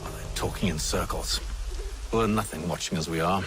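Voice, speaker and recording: A man playing a wry, commanding older gentleman Templar in a game, dry and sardonic. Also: low-pitched, a little fast, over music, lightly acted. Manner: dry, sardonic, clipped authority. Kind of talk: dramatic game cutscene acting.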